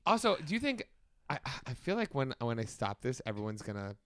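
A man in his late twenties co-hosting a podcast, chatting casually, animated and excitable, swearing freely. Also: mid-range voice, fairly quick, dry recording, studio quality. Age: 20s. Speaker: podcast co-host. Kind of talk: casual chat.

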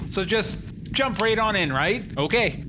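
An actor playing a vain, needy American news anchor who sounds self-important and defensive. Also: male voice, high-pitched, animated, measured pace, heavy background noise. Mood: hesitant.

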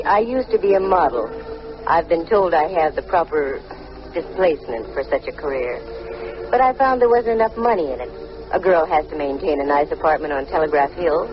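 A woman's voice: lowish voice, lightly acted. An actress playing a wry, flirtatious female private eye and former model in a 1950s radio detective drama, wisecracking and sardonic. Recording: muffled, noisy old radio recording.

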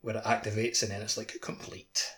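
A man's voice: on the low side, level tone, fairly quick, sharp tone. A man co-hosting a casual podcast, telling rambling stories full of "like". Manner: rambling storytelling, lots of "like". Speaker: podcast co-host.

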